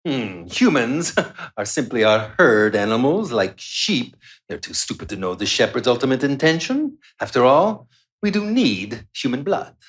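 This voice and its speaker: Radio play villain: smug vampire boss, condescending and theatrical, widely swinging pitch. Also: male voice, big pitch swings, measured pace, fairly bright tone. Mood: smug, gloating. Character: gloating, menacing male vampire doctor and boss. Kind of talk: live radio play acting.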